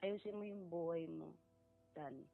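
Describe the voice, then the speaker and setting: A woman caller with a creaky voice talks haltingly and respectfully on a radio show. The line sounds muffled, with music playing behind her. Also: fairly low pitch. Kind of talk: personal account, halting and respectful.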